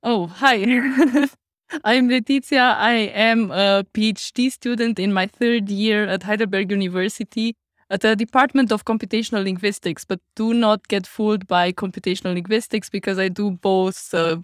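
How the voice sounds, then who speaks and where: Lively Romanian-accented young woman. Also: medium pitch, moderate pace, no room sound, studio quality. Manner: lively, laughs often. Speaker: podcast guest. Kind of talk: casual chat.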